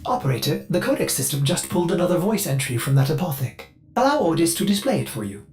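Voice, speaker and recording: An actor voicing an eager, servile ship computer assistant in a video game, brisk and deferential, through a robotic filter. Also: medium pitch, very animated, a little mellow, faint music behind. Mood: helpful.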